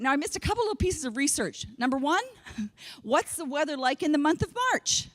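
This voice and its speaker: A woman in her fifties, from Canada, giving a comedic keynote talk, self-mocking and quoting herself and her family in voices. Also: high-pitched. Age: 50s. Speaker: keynote speaker. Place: conference hall stage.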